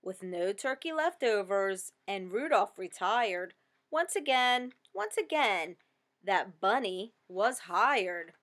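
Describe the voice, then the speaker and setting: Slow-paced woman reading a children's story. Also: medium pitch, animated, fairly bright tone. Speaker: storybook reader. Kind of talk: expressive children's read-aloud narration.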